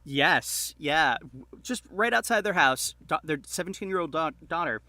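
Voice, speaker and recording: A man as a podcast guest, recounting film stories in casual, halting talk with frequent restarts. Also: on the high side, expressive, sharp tone, no room sound.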